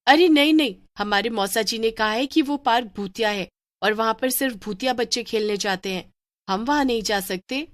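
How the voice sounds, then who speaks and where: A woman voicing an excitable, easily scared young girl in an animated children's story. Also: on the high side, moderate pace, natural voice. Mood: alarmed.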